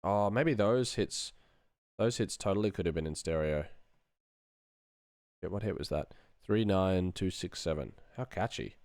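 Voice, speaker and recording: Deep, gravelly middle-aged man muttering while working. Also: measured pace, a little mellow, dry recording, clean studio sound. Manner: muttering to himself in short fragments. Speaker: sound designer live-streaming his editing work. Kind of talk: casual thinking aloud to stream viewers while working. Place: small room indoors.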